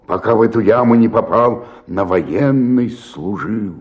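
An actor playing a despairing, self-pitying old provincial actor in a radio play, declaiming and then sinking into murmurs. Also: male voice, fairly low pitch, unhurried, dull audio, lightly acted. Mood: self-pitying, bitter. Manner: declamatory, swinging between outburst and murmur. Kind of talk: radio play dramatic monologue.

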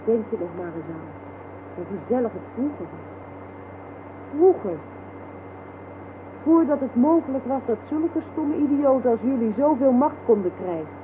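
Give me a bitter, mocking woman, fairly high voice, on a thin phone-like radio drama recording. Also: big pitch swings, moderate pace, long pauses, noisy background. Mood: bitter scorn. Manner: scornful, mocking. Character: bitter, defiant woman scorning soldiers and their world. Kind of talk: radio play acting.

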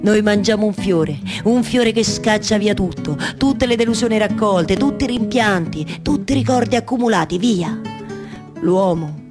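Una donna che interpreta un re solenne e suadente con accento romano, enfatico e ripetitivo. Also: female voice, medium pitch, normal speed, loud music behind the voice, lightly acted. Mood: persuasive, solemn. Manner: emphatic, incantatory repetition. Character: solemn, seductive male king urging a guest to forget.

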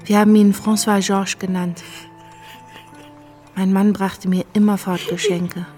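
A woman's voice: mid-range voice, level tone, a little slow, over music, lightly acted. An actress playing a melancholy, disillusioned young wife and mother, confiding in a radio drama monologue. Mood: weary, wistful.

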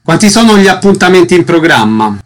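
A man's voice: high-pitched, sing-song, fairly quick. A man hosting a radio interview, putting questions to guests and making announcements.

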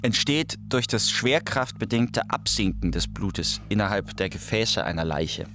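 A man playing a sober lecturer in a radio play, giving scripted scientific narration in a deliberate, matter-of-fact way. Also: fairly low pitch, animated, creaky, pushed and bright, faint music behind, full character voice. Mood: sober, clinical. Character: sober clinical lecturer on death and decay.

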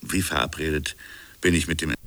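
A man's voice: very deep voice, normal speed, a bit bright. An actor playing a colonial official in a radio drama, recounting his own investigation in a brooding, insistent manner. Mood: matter-of-fact, defensive. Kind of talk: radio drama dialogue and first-person narration.

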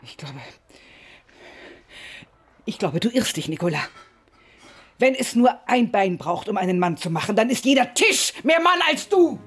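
A woman's voice: medium pitch, sing-song, a little slow, pauses often, husky. An actress playing a steadfast older village woman in a radio drama, speaking in a firm, blunt and restrained way. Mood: hushed, defensive, then stern. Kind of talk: naturalistic German radio drama acting.

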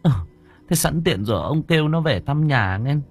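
A man voicing a gentle, worried older wife in a raised, soothing voice. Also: a little high, animated, normal speed, husky, soft background music, voice pushed up. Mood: fretful. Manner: soothing, fretful.

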